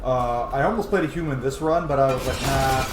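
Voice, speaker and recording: A man's voice: mid-range voice, brisk. Man casually commentating gameplay in echoey room. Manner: casual, profane. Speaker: gaming video host. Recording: roomy echo, clear background noise.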